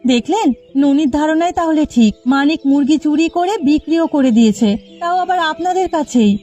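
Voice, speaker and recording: A determined, bossy village wife from a cartoon , acted by a woman. The voice is highish but soft-edged and dark in tone, over light music. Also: normal speed, lo-fi sound, natural voice. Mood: indignant. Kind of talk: Bengali cartoon voice acting. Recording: music under the voice.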